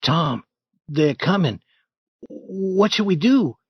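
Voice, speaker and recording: A man's voice: high voice, expressive, slow, slightly rough, dull audio, pitched above the performer's own voice, exaggerated voice. A man voicing a superstitious, timid teenage boy who sounds shaky and worried.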